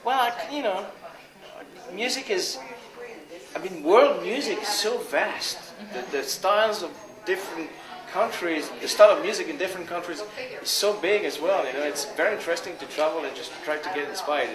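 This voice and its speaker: Man, French accent, fairly high voice, loose conversational phrasing, interview guest, echoey space. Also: lively intonation, normal speed, a crowd in the background. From France. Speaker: interviewed jazz guitarist. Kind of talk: casual interview chat. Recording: echoey space with loud music. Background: loud music.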